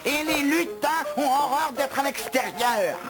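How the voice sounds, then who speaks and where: A man voicing a grumpy, gluttonous house elf in an animated film dub, with a theatrical, grumbling, wry delivery. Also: very high voice, measured pace, over loud music.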